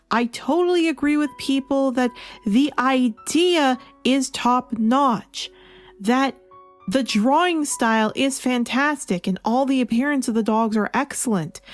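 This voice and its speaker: A woman with a fairly high voice delivers a scripted review. Her delivery is emphatic and theatrical, like a storyteller addressing viewers. Faint music plays behind her. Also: no echo. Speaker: comic reviewer. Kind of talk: scripted video review narration.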